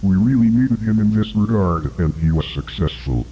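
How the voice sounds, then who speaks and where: A man's voice: lowish voice, animated, normal speed, breathy edge, lo-fi sound. A man playing an evasive, guarded agency commander in a scripted horror interview, speaking formally. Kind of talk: scripted analog horror dialogue.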